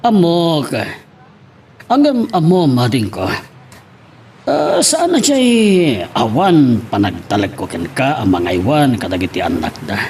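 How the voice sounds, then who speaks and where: A man's voice: medium pitch, wildly swooping pitch, a little slow, a little mellow, slight background noise. A man with a Filipino accent playing a caring older brother and family elder in an audio drama. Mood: reflective, concerned. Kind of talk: Ilocano radio-style drama acting.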